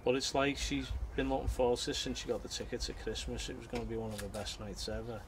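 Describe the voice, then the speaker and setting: A man's voice: mid-range voice, flat delivery, fast. A middle-aged man with a Liverpool accent, giving an informal account as an interviewee. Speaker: interviewee, father of eyewitness. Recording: noisy location interview sound.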